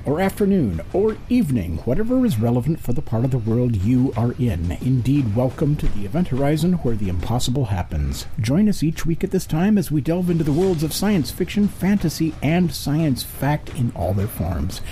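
A man's voice: medium pitch, very animated, normal speed, hardly any pauses, dark tone, slight background noise. A man hosting a show, presenting and interviewing in a radio style. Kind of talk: scripted show intro and interview.

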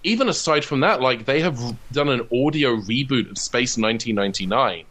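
Give this voice to a man with a mid-pitched delivery, speaking as a guest in a casual interview. He is enthusiastic and discursive, adding to each thought as he goes. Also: expressive, a little fast, fairly bright tone. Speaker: interview guest, comedy writer and audio drama producer.

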